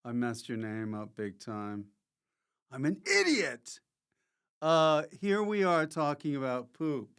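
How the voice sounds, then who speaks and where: A man hosting a comic podcast in a rambling, forgetful, jokey way, often halting as he loses his thread. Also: medium pitch, big pitch swings, smooth. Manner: jokey, prone to loud mock announcements. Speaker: podcast host.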